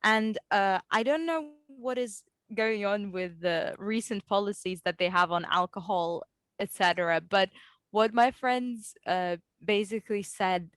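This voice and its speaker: Woman with a smooth, dry voice. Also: mid-range voice, measured pace, fairly bright tone, no echo. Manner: conversational, asks follow-up questions. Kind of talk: casual podcast discussion.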